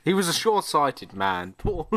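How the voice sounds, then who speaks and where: A man's voice: fairly high pitch, sing-song, a little slow, pushed and bright. A man co-hosting a podcast, narrating an improvised comic story and bantering casually.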